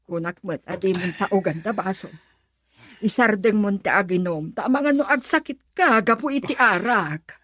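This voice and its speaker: A woman's voice: medium pitch, normal speed, fairly bright tone, no echo, natural voice. A Filipino woman playing an anxious, coaxing mother of a grown son in a radio drama. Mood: worried, pleading.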